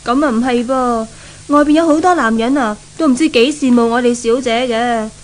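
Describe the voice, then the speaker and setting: Woman acting timid, high-pitched maidservant. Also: normal speed, lightly acted. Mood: confiding, gossipy. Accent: Hong Kong Cantonese. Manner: meek, cautious. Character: timid, deferential maidservant, cautious but chatty. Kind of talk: 1970s Cantonese radio drama acting.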